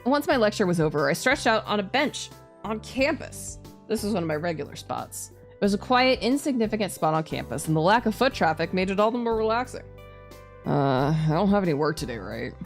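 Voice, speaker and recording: A woman's voice: medium pitch, big pitch swings, a little fast, soft background music. A woman streamer playing a visual novel live, reading the narration aloud and adding playful, casually sweary asides. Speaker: streamer, virtual YouTuber.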